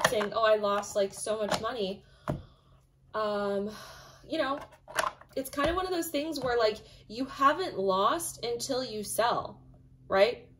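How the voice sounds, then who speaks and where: A woman with a slightly raspy voice, casually chatting through a tarot reading as the host of her own channel, full of fillers and emphatic asides. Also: on the high side, fairly bright tone, a little hiss or hum.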